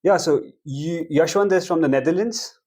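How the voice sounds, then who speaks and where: A young man hosting a casual talk show, relaxed and asking short questions. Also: fairly high pitch, moderate pace, slightly rough. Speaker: host. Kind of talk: casual chat.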